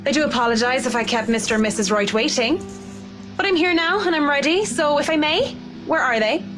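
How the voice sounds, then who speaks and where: An actress playing a self-assured professional woman in a visual novel, dry, teasing and measured. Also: high voice, music in the background, natural voice, low-quality recording. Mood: clipped, polite.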